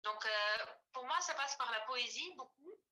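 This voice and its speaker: A woman's voice: a little high, animated, moderate pace, bright, forceful tone. A woman in her forties from Ukraine, a native Ukrainian speaker, talking as an artist guest on a video call, with many fillers and restarts. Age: 40s. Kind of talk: casual interview.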